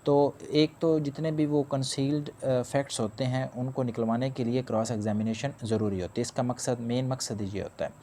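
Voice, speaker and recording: Dark-voiced man explaining law. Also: mid-range voice, steady tone, normal speed, hardly any pauses, a little hiss or hum. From Pakistan. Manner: explanatory, repeats and rephrases points, mixes English legal terms. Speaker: law lecturer. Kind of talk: spoken law lecture.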